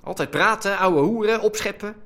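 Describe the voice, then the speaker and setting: An actor playing a brash, suspicious crime boss, blunt and grumbling in a naturalistic radio drama. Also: male voice, sits high, normal speed, fairly bright tone. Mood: irritated, distrustful.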